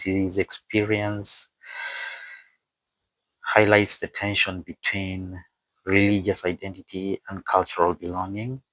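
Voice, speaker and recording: A man who is not a native English speaker narrates an explainer from a script with asides, haltingly, stumbling over words and repeating phrases. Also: deep voice, fairly bright tone, no echo, rough recording. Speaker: video presenter and narrator.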